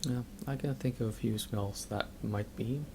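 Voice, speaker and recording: A man's voice: medium pitch, normal speed, background noise. A man giving casual, hesitant, dryly musing commentary as he plays through a video game. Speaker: video game let's player. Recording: commentary over audible game sound. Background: game audio.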